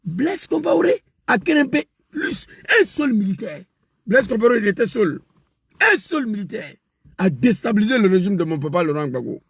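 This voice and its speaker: A man delivering an impassioned political rant, emphatic and repetitive, rising to shouts. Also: high voice, very animated, normal speed, gravelly, slightly rough, dry recording, studio quality. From West Africa. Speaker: political commentator.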